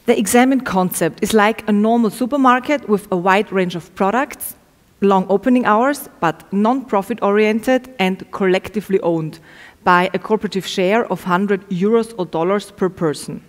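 A woman's voice: medium pitch, normal speed. Echoey young woman presenting research. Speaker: researcher presenting study findings. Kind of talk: prepared academic presentation. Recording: echoey, reverberant room sound.

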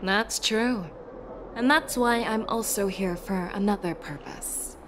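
A woman playing a composed, formal fox-eared general in a video game, speaking in a measured, deliberate way. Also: medium pitch, background noise, close to an everyday voice. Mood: grave, formal.

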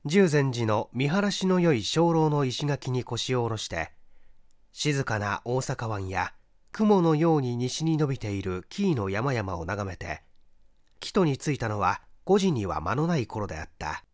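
A man's voice: mid-range voice, big pitch swings, a little slow, no echo, clean studio sound. A man reading a mystery story aloud as a dramatic scripted narration. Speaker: audiobook reader, stage actor.

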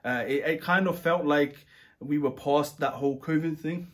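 A man chatting casually about a trip, rambling and relaxed with many fillers and restarts. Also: a little high, normal speed. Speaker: travel vlogger. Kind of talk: casual chat.

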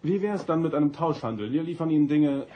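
An actor playing a dutiful starship first officer in a sci-fi audio drama, formal and matter-of-fact. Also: male voice, a little high, animated, quick pace, dark tone, slight background noise, lightly acted. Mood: persuasive.

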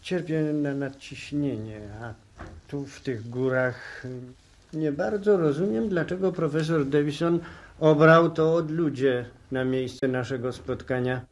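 An actor playing an ailing, courteous professor with a formal, polite manner in a 1960s radio drama. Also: male voice, fairly high pitch, measured pace. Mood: weary.

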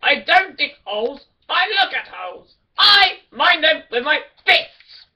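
A woman voicing a small, boastful, angry male dwarf miner, shouting in a high, strained voice. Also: very animated, unhurried, full character voice. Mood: blustering. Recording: lo-fi with loud music behind.

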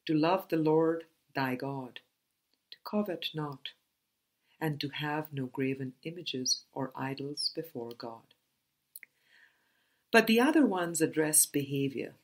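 A woman reading a spiritual book aloud as scripted narration, in a measured, deliberate manner with pauses between phrases. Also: deep voice, expressive. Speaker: audiobook reader.

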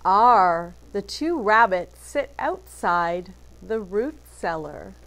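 A woman teacher reading a picture book aloud to children, deliberately emphasizing letters and phrases. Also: medium pitch, expressive, creaky, a bit bright.

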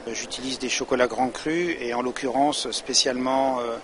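A man's voice: medium pitch, fairly even intonation, moderate pace. A man, a chocolatier, answering interview questions hesitantly with many fillers in an exhibition hall. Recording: noisy background.

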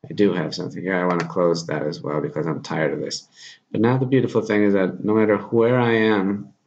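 A man in his thirties with a low-pitched voice presents a casual screencast tutorial. He talks informally, working things out aloud as he goes. The sound is dull and lo-fi, with faint noise behind him. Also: fairly even intonation, brisk, darkish tone. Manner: informal, thinking aloud while demonstrating. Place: small plain room indoors. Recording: dull lo-fi audio, background noise.

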